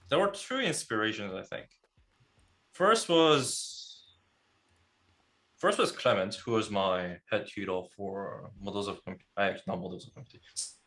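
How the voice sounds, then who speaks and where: Young Korean-born man, podcast guest, lowish voice, halting and laughing. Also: a bit bright. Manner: laughs often, halting restarts. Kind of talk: casual podcast interview.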